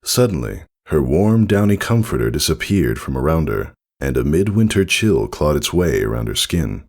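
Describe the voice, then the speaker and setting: A man's voice: a bass voice, sing-song, moderate pace, darkish tone. A man reading a scripted story aloud as its narrator. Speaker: fan fiction reader, narrator.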